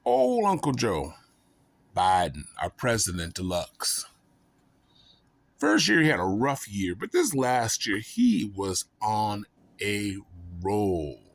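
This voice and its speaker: A man telling a comedic story to the camera, folksy and self-mocking. His voice sits low and he takes his time. The recording is dry and close. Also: wildly swooping pitch, slow pace, vocal fry, no echo. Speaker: vlogger.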